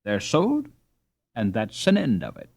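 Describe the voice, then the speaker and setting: A male reader voicing a playful, animated young girl in a storybook reading. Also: on the low side, a little slow, vocal fry, husky, no echo, heavily acted. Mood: coaxing. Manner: sing-song, mock-solemn.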